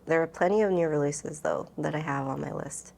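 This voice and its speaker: A woman's voice: sits low, a little fast, vocal fry, a little mellow. A woman book vlogger talking casually and informally, rambling and thinking aloud as she answers questions. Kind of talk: casual chatty vlog, answering tag questions.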